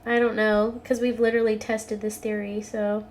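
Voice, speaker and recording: A woman's voice: mid-range voice, flat delivery, moderate pace, slight background noise. A woman co-hosting a podcast, heavily congested, giving short agreeing replies in casual chat. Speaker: podcast co-host.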